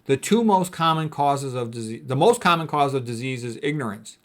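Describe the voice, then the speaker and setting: A man's voice: medium pitch, lively intonation, fairly quick, fairly bright tone. A man giving an informal lecture as a physician, commenting on quotes in a blunt, dismissive, colloquial way and repeating his points emphatically.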